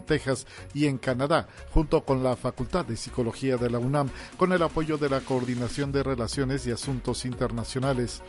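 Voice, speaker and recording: A man with a Mexican accent reading scripted radio news reports. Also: medium pitch. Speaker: news reader. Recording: music bed behind the voice. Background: music.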